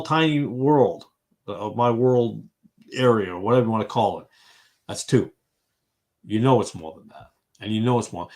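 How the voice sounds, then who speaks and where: A man in his fifties from Massachusetts hosts a relaxed livestream fellowship talk, his voice sitting at an ordinary middle pitch. He rambles and thinks out loud, full of "uh"s and restarts, and answers viewers as their comments come in. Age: 50s. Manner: rambling, thinks aloud with many fillers; reacts to viewers' comments as he goes. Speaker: host of an online fellowship chat. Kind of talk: casual livestream group conversation.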